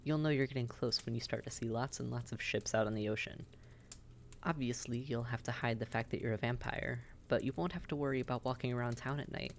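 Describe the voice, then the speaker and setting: Guy voicing a friendly vampire innkeeper, creaky gravelly voice, chatty n reassuring advice, audio roleplay, bit of background noise. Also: mid-range voice, steady tone, fairly quick, lightly acted. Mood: helpful, explaining. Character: friendly, worldly old-hand vampire innkeeper, reassuring male. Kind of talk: roleplay audio drama, speaking directly to listener.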